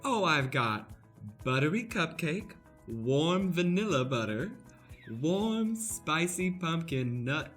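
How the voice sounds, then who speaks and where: A man's voice: big pitch swings, takes it slowly, fairly bright tone, faint music behind, exaggerated voice. A man playing an upbeat small-time salesman with an eager patter, in an improvised radio commercial sketch. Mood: eager, salesy. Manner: peddling, patter-like sales pitch. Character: upbeat small-time salesman pitching harebrained novelty products.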